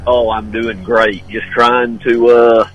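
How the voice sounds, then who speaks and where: A man's voice: medium pitch, flat delivery, slow, dull audio, faint music behind. A man phoning in as a local sports analyst, easygoing and rambling with fillers. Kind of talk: sports report. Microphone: phone call.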